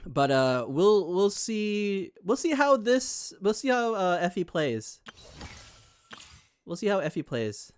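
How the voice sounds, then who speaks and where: A man chatting casually on a gaming livestream in an informal, excitable way, often repeating his phrases. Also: sits high, takes it slowly, clear, resonant tone. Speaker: game streamer.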